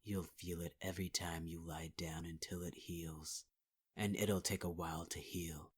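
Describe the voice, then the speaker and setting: Husky low menacing man, half-whispering. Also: level tone, normal speed. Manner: menacing, taunting, cruel. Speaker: voice actor in audio roleplay. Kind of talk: ASMR audio roleplay.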